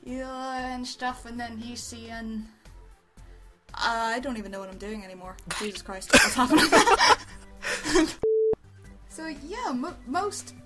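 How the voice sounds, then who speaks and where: High-voiced woman chatting informally. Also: expressive, slow pace, pauses often, bright, forceful tone, faint music behind. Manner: giggly, informal, rambling. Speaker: review show co-host. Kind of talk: casual chat, reviewing amateur voice acting.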